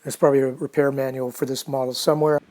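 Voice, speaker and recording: Male hobbyist narrator: relaxed, dark-toned, fairly monotone, unhurried and informal, talking through his own work. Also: medium pitch. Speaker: hobbyist restorer narrating a project. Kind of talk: casual DIY narration.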